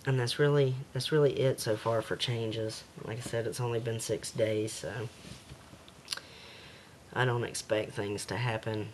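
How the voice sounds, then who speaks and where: A man with a slightly raspy voice talking hesitantly in a casual home video diary, with many pauses and fillers. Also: medium pitch, fairly even intonation, a little hiss or hum.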